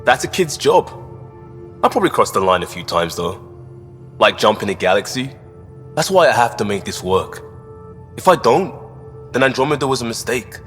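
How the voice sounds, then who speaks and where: An actor playing a plainspoken, earnest crisis responder in a sci-fi video game, casual and wry. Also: male voice, medium pitch, big pitch swings, normal speed, long pauses, a bit bright. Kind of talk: video game character dialogue. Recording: hall reverb, faint music behind.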